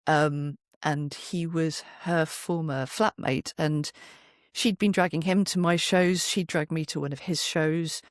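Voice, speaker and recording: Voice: medium pitch, no echo, clean studio sound. A middle-aged performer telling anecdotes as an interview guest, in a wry, self-deprecating, conversational way.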